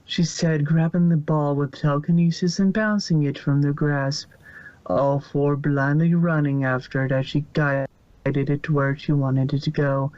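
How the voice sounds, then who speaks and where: A man's voice: a little high, little pitch movement, a little slow, darkish tone, no room sound. A man narrating fan fiction as a scripted story reading. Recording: muffled, dry lo-fi recording.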